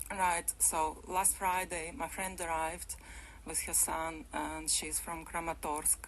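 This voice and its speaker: A woman's voice: deep voice, level tone, takes it slowly, a little hiss or hum, low-quality recording. A woman from Ukraine, a radio interview guest in a studio, speaking English in simple, phrasing. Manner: simple, non-native phrasing, laughs lightly.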